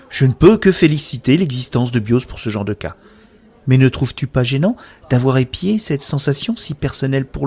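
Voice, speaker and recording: A man playing a passionate sceptic in a scripted science-fiction audio drama, speaking in a serious, deliberate, reproachful way. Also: mid-range voice, darkish tone, lightly acted. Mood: sceptical, reproachful. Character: Abel, sceptical passionate man guarding privacy and real feeling. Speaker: voice actor.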